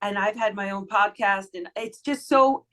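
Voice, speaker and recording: Woman, low-ish voice that carries a smile, chatty podcast guest. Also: normal speed, fairly bright tone. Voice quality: lower voice for a woman. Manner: chatty, friendly, giggly, smiling tone. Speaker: voice actress guest. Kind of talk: casual podcast interview.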